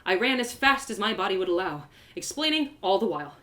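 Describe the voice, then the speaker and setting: A woman's voice: medium pitch, brisk. A woman reading a fantasy story aloud live, narrating in the first person with dramatic expression. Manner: dramatic, animated.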